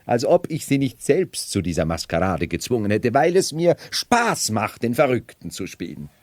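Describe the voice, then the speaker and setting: A man's voice: medium pitch, very animated, normal speed, dry recording, lightly acted. An actor playing a scornful, imperious man who feigns madness, in a theatrical 1970s radio drama monologue. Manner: theatrical, mocking, brooding monologue. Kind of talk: 1970s German radio play acting.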